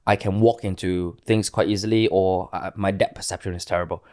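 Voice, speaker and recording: This is a Singaporean man of thirty-eight with a voice that sits a little low. He talks candidly, as an interview guest does. His phrasing is halting, with words repeated as he searches for the next one. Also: fairly even intonation, darkish tone.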